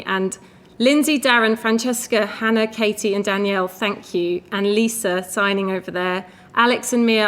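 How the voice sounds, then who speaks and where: Woman, event host, effusive introduction speech, mid-pitched voice. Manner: effusive, thanking team members one by one. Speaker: festival organizer and host.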